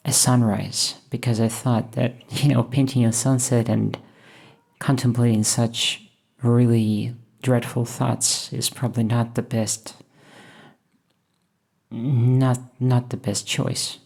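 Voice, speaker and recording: A woman from Russia, thoughtfully talking over her painting process in a hesitant, musing way with many pauses. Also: extremely low pitch, level tone, gravelly, a little mellow, breathy edge. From Moscow, Russia. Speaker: painter and channel host. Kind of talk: reflective voice-over while painting.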